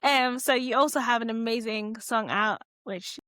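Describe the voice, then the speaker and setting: A woman's voice: a little high, moderate pace, a bit bright, dry recording. A woman co-hosting a podcast, light and giggly, asking questions in a casual way. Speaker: podcast co-host. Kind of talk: podcast interview, casual chat.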